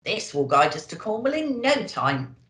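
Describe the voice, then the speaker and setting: A woman voicing a jolly old Santa Claus for a children's storybook, hearty and booming. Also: big pitch swings, normal speed, a bit bright, roomy sound. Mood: merry.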